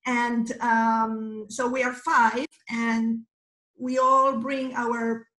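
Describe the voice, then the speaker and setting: A woman in her fifties with an Argentine accent and native Spanish, talking informally as a guest in a measured, halting way, searching for words. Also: fairly high pitch, level tone, bright, forceful tone. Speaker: guest, mosaic artist. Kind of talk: informal interview talk. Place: small indoor studio room. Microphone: computer mic on video call. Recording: roomy video-call sound.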